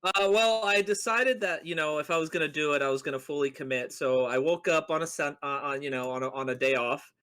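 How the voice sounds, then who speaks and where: Bright-voiced man chatting about gaming. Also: a little high, a little fast, few pauses, clean tone. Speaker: podcast co-host. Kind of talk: casual chat on a gaming podcast.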